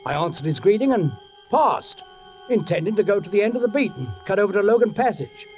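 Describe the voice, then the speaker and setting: High-pitched brooding police sergeant, old radio. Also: male voice, lively intonation, a little fast, darkish tone. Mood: brooding, suspenseful. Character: London police sergeant, brooding, watchful narrator. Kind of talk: 1940s radio acting, first-person narration. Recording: dull 1940s radio recording, music behind.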